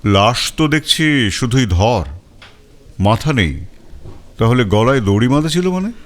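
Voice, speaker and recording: An actor playing a composed police detective, narrating his case in measured, expressive audio-story style. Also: medium pitch, soft background music. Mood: composed, inquisitive. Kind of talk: scripted first-person detective story narration.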